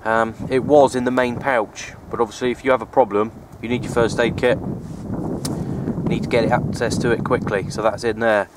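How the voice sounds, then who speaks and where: A man, rambling casually in a low-key, resigned way as he narrates a vlog on a handheld camera. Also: level tone, normal speed. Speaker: outdoor radio hobbyist vlogger. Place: outdoors on an open wooded hilltop. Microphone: handheld camera's built-in mic, held by speaker. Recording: outdoor handheld camera, wind and ambient noise.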